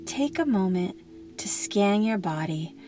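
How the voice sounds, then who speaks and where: A woman leading a guided meditation in a gentle, soothing, unhurried manner, leaving long pauses between phrases. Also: mid-range voice, expressive, over music.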